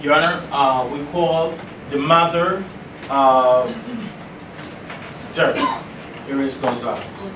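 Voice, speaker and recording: A man speaking as a prosecutor in a mock trial, delivering a formal statement in a deliberate, halting way. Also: medium pitch, far from the mic. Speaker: law student acting as prosecutor. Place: echoey indoor room, distant microphone, noise behind. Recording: echoey room from afar, noisy.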